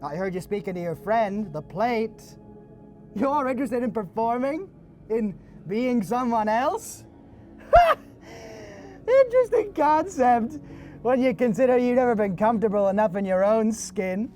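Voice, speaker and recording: A woman's voice: fairly high pitch, lively intonation, moderate pace, dark tone, soft background music, natural voice. A woman playing a mocking inner critic in a surreal audio drama, taunting and theatrical, drawing out her words. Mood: probing, taunting. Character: mocking inner critic spirit of self-doubt, needy and theatrical.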